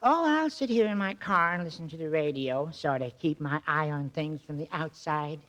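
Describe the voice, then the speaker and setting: A man's voice: a little high, moderate pace, fairly bright tone, close to an everyday voice. A man playing a meek, literal-minded detective in a 1940s radio drama, hesitant and fussily precise. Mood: fussy, hesitant. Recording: noisy vintage radio recording.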